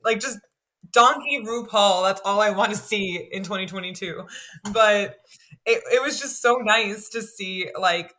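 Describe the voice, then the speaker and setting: Bright-voiced chatty woman. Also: measured pace. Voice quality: self-described low, deep voice. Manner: chatty and animated, heavy use of "like". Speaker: podcast panelist. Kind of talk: casual podcast chat.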